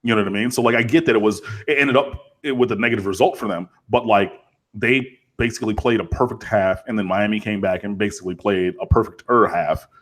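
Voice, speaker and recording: A man chatting casually as a college football podcast co-host, rambling and animated with lots of fillers. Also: on the low side, brisk. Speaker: co-host, Miami fan. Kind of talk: casual sports podcast chat.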